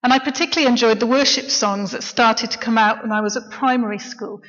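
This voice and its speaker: A middle-aged woman, a local preacher, giving a quiet, reflective personal testimony in church. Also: a little high, steady tone, fast. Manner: soft-spoken, reflective. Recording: dull, echoey church recording.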